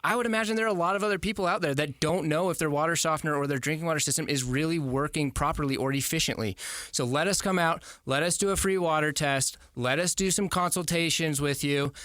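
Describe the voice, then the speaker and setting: Quick, higher-pitched man pitching a service. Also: fairly bright tone, no room sound, studio quality. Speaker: guest water company representative. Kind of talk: casual sales pitch. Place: radio studio. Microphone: close studio microphone.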